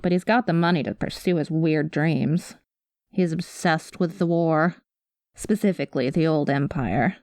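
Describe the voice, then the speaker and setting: A woman playing a cynical, guarded space smuggler with a soft spot, talking to the listener in a wry, world-weary way in an ASMR roleplay. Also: fairly low pitch, dry recording, studio quality, close to an everyday voice. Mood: wry, confiding.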